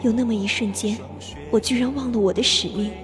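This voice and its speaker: A woman voices an aloof young assassin in a wuxia radio drama. Her voice is fairly high, but her lines come short and cold. A music score runs underneath. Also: brisk, close to an everyday voice. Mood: wistful. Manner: terse, clipped, aloof.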